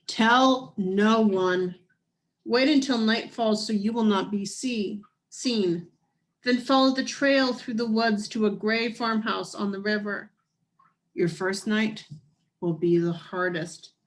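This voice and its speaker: A woman voicing a solemn, motherly ghostly guide in a children's storybook, giving measured, urgent instructions. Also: mid-range voice, natural voice, poor audio. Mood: solemn warning.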